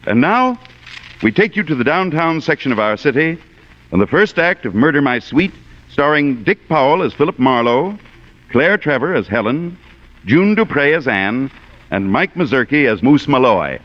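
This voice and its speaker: An American man hosting a radio theater, giving a scripted introduction in a formal, measured 1940s broadcast manner. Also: medium pitch, sing-song. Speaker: guest producer and host of a radio theater. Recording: muffled, noisy vintage radio broadcast.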